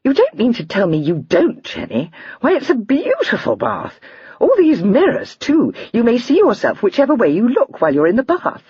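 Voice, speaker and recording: Lively and teasing, this young lady chatters with her voice flying up high and swooping all over, soft and breathy. A woman puts her on while reading the story aloud, close to the mic in a dry, muffled recording. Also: moderate pace, no room sound, heavily acted. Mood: rapturous.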